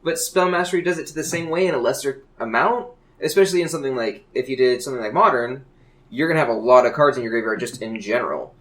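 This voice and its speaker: A man's voice: mid-range voice, quick pace. A man co-hosting a casual hobby podcast, talking in an enthusiastic, earnest way. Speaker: podcast co-host.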